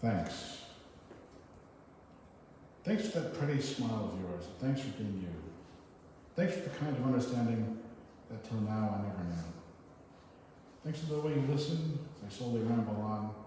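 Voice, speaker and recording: A man giving a live poetry reading, with informal, halting, self-deprecating commentary between poems. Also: lowish voice, expressive, warm tone. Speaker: reader at a poetry event. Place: echoey hall at a live event. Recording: noisy, echoey hall, distant mic.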